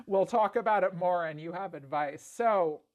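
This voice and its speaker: A woman doing a casual tarot reading, congested and a bit raspy, talking in a chatty way full of "like" fillers. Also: on the low side, darkish tone. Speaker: tarot reader.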